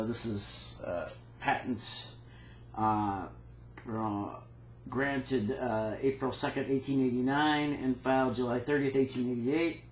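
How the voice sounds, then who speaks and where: A man casually showing his notes and reading out dates and patent text, with many pauses. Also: medium pitch, roomy sound, a little hiss or hum, poor audio. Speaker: phonograph cylinder maker. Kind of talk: casual show-and-tell walkthrough.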